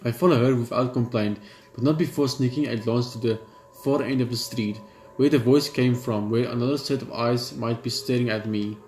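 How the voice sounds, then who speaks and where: A man gaming, reading a horror visual novel's narration aloud in a steady read, dropping blunt side remarks. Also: medium pitch, moderate pace, reverberant, slight background noise. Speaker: gamer.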